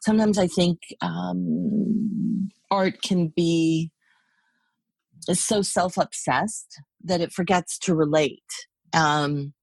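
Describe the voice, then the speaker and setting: A middle-aged woman artist, whose first language is Hungarian, talks reflectively in a podcast interview, animated and full of restarts. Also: low-pitched, slow pace, long pauses, no room sound. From United States. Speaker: guest artist.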